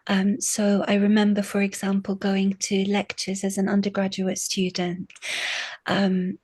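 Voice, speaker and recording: A woman lecturer answering interview questions thoughtfully, pausing and restarting often. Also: mid-range voice, monotone, darkish tone, husky, dry recording. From family from Syria. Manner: thoughtful, hesitant, many restarts. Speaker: guest, literature lecturer. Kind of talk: academic interview.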